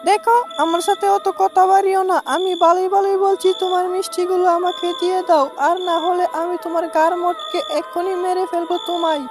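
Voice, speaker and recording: Greedy ghost Lullu, very high. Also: male voice, flat delivery, fairly quick, hardly any pauses. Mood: menacing. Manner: mutters to himself in low tones, then threatens loudly. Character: greedy mischievous ghost Lullu, sweet-loving. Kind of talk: cartoon voice acting. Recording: loud background music.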